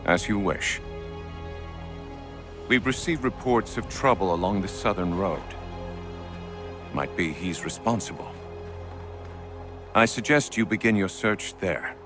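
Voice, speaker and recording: An actor playing a grave, courteous military commander in a video game, formal, measured and authoritative. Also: male voice, low-pitched. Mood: grave, wary. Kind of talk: dramatic video game acting. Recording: prominent music behind the voice.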